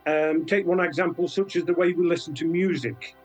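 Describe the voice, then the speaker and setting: A man's voice: on the high side, a little fast, faint music behind. An older man with a British accent giving thoughtful, hesitant explanations as an interview guest. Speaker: interview guest, science fiction author.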